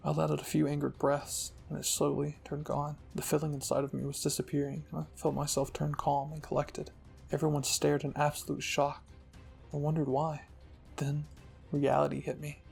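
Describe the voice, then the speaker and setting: A man's voice: a little high, monotone, moderate pace, creaky, a little mellow. A man narrating a scripted fan-fiction story dramatically, as the host of a storytelling channel. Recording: music behind the voice.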